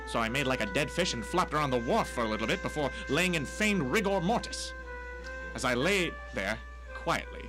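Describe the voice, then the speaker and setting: A man's voice: mid-range voice, lightly acted, low-quality recording. A man playing a wisecracking, hapless private detective in a noir radio drama, theatrical and full of old-timey slang. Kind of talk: hard-boiled noir radio drama narration.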